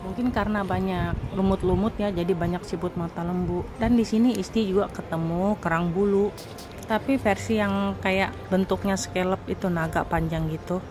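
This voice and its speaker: A woman's voice: medium pitch, steady tone, normal speed, noisy background. A woman narrating her own foraging vlog as a voice-over, chatting with viewers as friends. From Indonesia. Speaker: vlog host. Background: background music.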